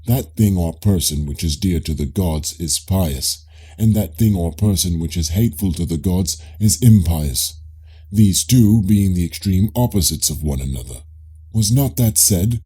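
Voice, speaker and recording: A man voicing a probing, politely ironic Greek philosopher in an audiobook dialogue, questioning in a measured way with a husky, dark voice. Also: deep voice, lively intonation, full character voice. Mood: patient, probing.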